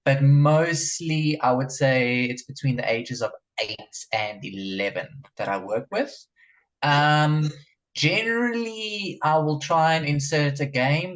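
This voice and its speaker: A man speaking as an online teacher in a casual group discussion, halting and repeating words as he thinks. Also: a little high, low-quality recording.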